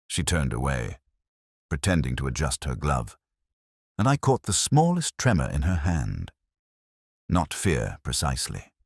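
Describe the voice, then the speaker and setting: Very deep-voiced measured male narrator. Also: dry recording, clean studio sound. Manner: measured, reflective, unhurried delivery. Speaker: first-person narrator. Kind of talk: scripted first-person mystery narration.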